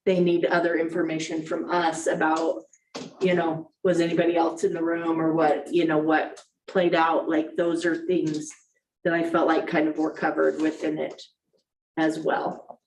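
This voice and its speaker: A woman's voice: sits low, monotone, unhurried, a little mellow. A woman on a school board thinking aloud with many fillers, giving her view at a meeting. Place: large meeting room. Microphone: desk microphone from afar. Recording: echoey room, distant mic, faint hiss.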